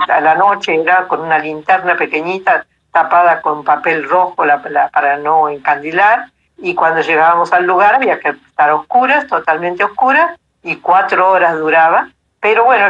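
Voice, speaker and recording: A woman's voice: sits low, fairly bright tone, dull audio. An Argentine woman of eighty-two telling stories casually as an interview guest, with an easygoing, anecdotal manner. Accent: Argentine Spanish.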